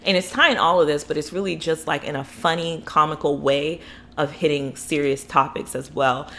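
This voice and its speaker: A woman's voice: low voice, fairly even intonation, normal speed, fairly bright tone. A young adult woman from Florida reviewing books in a casual, rambling, enthusiastic vlog, full of fillers and asides. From Florida, USA. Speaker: book vlogger.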